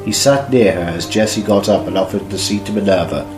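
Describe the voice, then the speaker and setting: A man's voice: on the low side, quick pace. A man reading scripted narration for an amateur audio drama. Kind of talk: scripted fan-fiction narration. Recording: echoey room with faint music.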